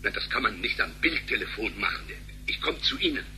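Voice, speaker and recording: A pompous, sarcastic office man in a sci-fi radio play. His voice is very bright and forceful, his phrasing florid and indignant, over slight background noise. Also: fairly low pitch, very animated, brisk, full character voice. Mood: baffled, flustered. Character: wordy, flippant, indignant male bank employee. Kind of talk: German sci-fi radio drama acting.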